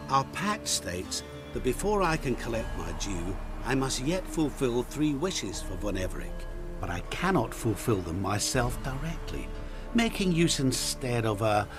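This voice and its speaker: An actor playing a suave, sinister merchant in a video game, speaking in an eloquent, deliberate, theatrical way with sly courtesy. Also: male voice, mid-range voice, sing-song, music in the background. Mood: guarded, coaxing. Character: suave, sinister male merchant with hidden powers. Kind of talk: video game character acting.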